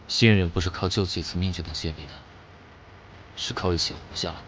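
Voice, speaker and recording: An actor playing an aloof, powerful fighter in an apocalyptic animated comic, terse, unhurried and commanding. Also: male voice, low voice, slight background noise. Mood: grave, detached. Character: aloof, battle-hardened man, powerful calm fighter in apocalypse. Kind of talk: dramatic dubbed animated-comic dialogue. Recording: loud music behind the voice. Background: loud dramatic music.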